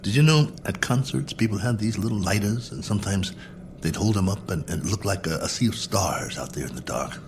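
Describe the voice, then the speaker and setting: An actor playing a lonely, bored astronaut colonel in a radio drama, speaking in a wry, musing, self-mocking manner. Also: male voice, lowish voice, brisk, noisy background, close to an everyday voice. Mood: wry, wistful. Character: lonely, bored male astronaut colonel, lone probe pilot. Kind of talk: radio drama acting, spoken log entries.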